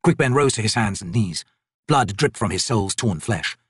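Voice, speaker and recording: Husky, expressive male audiobook narrator. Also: on the low side, moderate pace, no echo, studio quality. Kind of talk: dramatic scripted fantasy narration.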